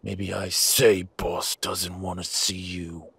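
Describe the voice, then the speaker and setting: A man playing a slow-witted, menacing henchman guarding his boss in an audio roleplay, with a gruff, raspy voice and blunt, halting speech. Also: low-pitched, flat delivery, fairly bright tone, no echo, exaggerated voice. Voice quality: raspy, gravelly.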